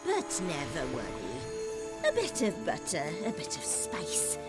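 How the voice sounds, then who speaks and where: A wicked witch from a fairytale game, sliding wildly up and down in pitch. She drags her words out slowly, mock-sweet and gloating over her prey. Loud music plays behind her. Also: huge pitch swings, exaggerated voice. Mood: gloating menace. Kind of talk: theatrical fairytale villain acting in a game.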